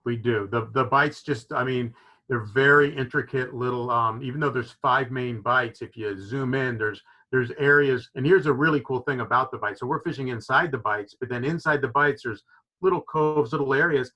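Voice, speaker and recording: A man's voice: mid-range voice, normal speed, sharp tone. A man from Florida who owns a fishing lodge, explaining with enthusiasm and many "you know" fillers on a video call. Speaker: fishing lodge owner, guest. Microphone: video call microphone.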